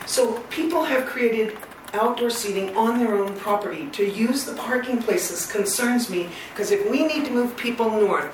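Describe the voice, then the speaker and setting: A woman with a loud voice giving a public comment at a meeting, earnest, detailed and persistent. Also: mid-range voice. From Northern California. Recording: echoey hall, far from mic, noisy.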